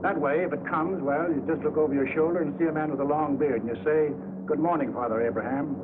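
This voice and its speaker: Fast-talking, higher-pitched military briefer, male. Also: steady tone. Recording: tinny, noisy 1940s film soundtrack.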